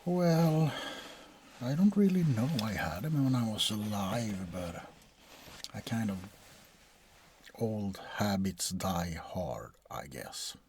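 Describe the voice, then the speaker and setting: A man playing a bored, sardonic, centuries-old vampire doctor in a soft-spoken, deadpan ASMR role-play, often slipping into a whisper. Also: lowish voice, a little hiss or hum, exaggerated voice. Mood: bored, hesitant. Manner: deadpan, hesitant, drawn-out, often dropping to a whisper.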